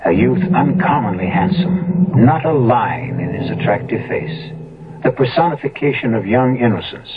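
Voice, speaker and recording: A man hosting and narrating a 1970s radio drama in a theatrical, ominous, deliberate scripted style. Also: on the low side. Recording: muffled old radio broadcast, loud music. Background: loud dramatic music.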